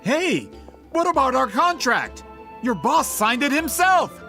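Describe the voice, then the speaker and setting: Smug high-voiced scheming businessman villain. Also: big pitch swings, creaky, sharp tone, soft background music, full character voice. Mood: indignant. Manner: theatrical, smug, drawn-out gloating. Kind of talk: video game voice acting.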